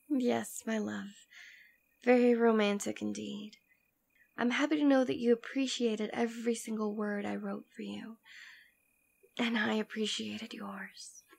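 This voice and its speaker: A woman acts out a demon queen devoted to her lover, in an intimate ASMR audio drama. Her voice sits slightly high, with plenty of creak at the edges. She is tender, protective and teasing, often sinking toward a whisper. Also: level tone, unhurried, long pauses, a little mellow, exaggerated voice. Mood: fond, reminiscing.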